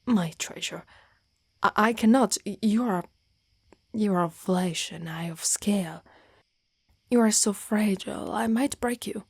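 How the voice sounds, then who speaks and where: Woman acting a tender female dragon knight, possessive and lovestruck, breathy half-whisper, dry close mic, ASMR roleplay. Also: medium pitch, no room sound. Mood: anxious, tender. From Italy. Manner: halting, formal, archaic phrasing. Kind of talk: romantic ASMR roleplay, intimate and partly whispered. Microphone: close microphone.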